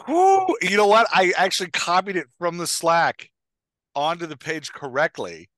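A man in his forties with a very lively, swooping delivery and a bright, forceful voice. He banters casually as a podcast host, joking at his own expense, close and dry on a headset mic. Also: high voice, takes it slowly, no echo, poor audio. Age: 40s. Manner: jokey, self-deprecating. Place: indoor room, on a video call.

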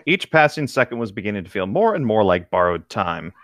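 A man's voice: fairly low pitch, sing-song, a little fast, no echo, studio quality. A man co-hosting a read-along show, switching between casual chat and reading aloud. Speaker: co-host of a group read-along commentary show.

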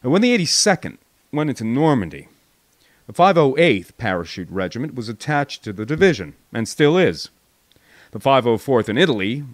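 An American man reading a war memoir as an audiobook, in an expressive storytelling manner with emphatic pauses. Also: mid-range voice, dry recording. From United States. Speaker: audiobook narrator, actor. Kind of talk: dramatic audiobook narration of a soldier's memoir.

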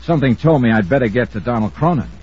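A wry American detective of vintage radio drama as a man acts him, with a mid-pitched voice and lively swings of intonation. He is brisk and hard-boiled, heard on a muffled old broadcast recording. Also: a little hiss or hum, close to an everyday voice. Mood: tense, urgent. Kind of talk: 1950s radio drama acting and first-person narration.

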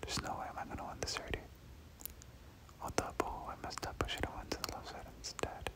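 A gamer whispering casual, slangy ASMR commentary over gameplay, often repeating words, close to the microphone. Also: fast. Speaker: gaming video host.

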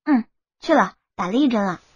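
A woman voicing a shy, stubborn young college girl in an audiobook, soft and understated. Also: on the high side, lively intonation, a little fast, husky, no echo, dull audio. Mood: reserved. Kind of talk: audiobook character acting.

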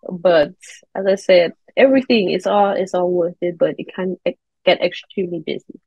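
A woman's voice: lowish voice, moderate pace, breathy edge, dry recording. Caribbean woman, slightly muffled call audio. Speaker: interview guest, air traffic controller and children's author. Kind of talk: conversational interview answers. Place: indoors on a video call.

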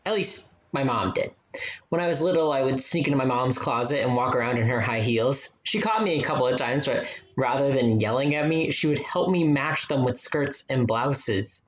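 Radio play friend: male actor as supportive young man, medium pitch, reassuring and conversational. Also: normal speed, lightly acted, rough recording. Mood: reminiscing, gentle.

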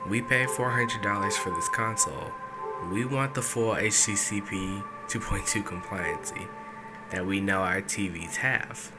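A man with a fairly low voice walks through a tech tutorial in an informal, off-the-cuff way. He pauses hesitantly as he goes. Music plays clearly behind him, and he is recorded on a handheld camera in a small room. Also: poor audio. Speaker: video creator giving a tech tutorial. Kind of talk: casual step-by-step tutorial. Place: indoors, small dim room at a desk setup. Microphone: handheld camera or phone mic.

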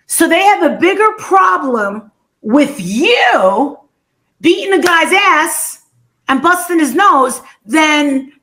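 Female video-call chatter, high voice, unhurried delivery, emphatic and sweary. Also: animated, bright, forceful tone. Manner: profane, repetitive, emphatic. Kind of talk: casual livestream chat.

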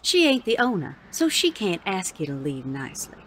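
A woman's voice: mid-range voice, big pitch swings, normal speed, fairly bright tone, breathy edge, low-quality recording. A woman playing a fiery, no-nonsense saloon owner in a Western audio drama, scolding in feisty frontier speech.

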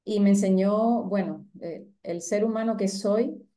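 Cuban woman with a very mellow voice. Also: mid-range voice, a little slow. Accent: Cuban, softened by years abroad. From Cuba. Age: middle-aged. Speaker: interview guest, writer. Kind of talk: reflective conversational interview. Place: indoor room at home, on a video call.

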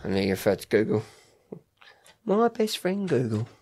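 A man's voice: medium pitch, very animated, normal speed, darkish tone. A man, a co-host who teases his friend and laughs often in a casual studio chat. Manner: teasing, laughs a lot. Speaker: podcast co-host. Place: wood-panelled study, indoors.

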